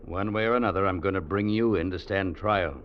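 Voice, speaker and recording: An actor playing a hard, dutiful Mountie sergeant who talks curtly and sternly, in 1940s radio drama style. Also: male voice, sits low, a little fast, lightly acted. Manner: curt, stern and unyielding. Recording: dull old radio recording, faint hiss.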